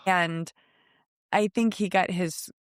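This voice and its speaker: A woman's voice: lowish voice, steady tone, slow, clear, resonant tone, no echo, clean studio sound. A middle-aged woman co-hosting a podcast, telling family stories in a casual, conversational way. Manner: conversational storytelling, quotes her kids. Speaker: podcast co-host. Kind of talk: casual chatty parenting podcast talk.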